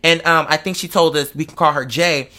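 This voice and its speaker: High, bright-voiced man, emphatic and slangy. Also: steady tone, a little fast. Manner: rambling, digressive. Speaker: online show host. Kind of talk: casual confessional chat to followers.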